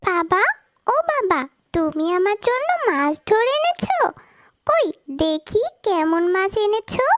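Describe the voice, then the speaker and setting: A woman's voice: very high voice, sing-song, slow, dry recording, clean studio sound, full character voice. A woman voicing a headstrong, affectionate young village girl in a cartoon. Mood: eager. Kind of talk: cartoon character dialogue.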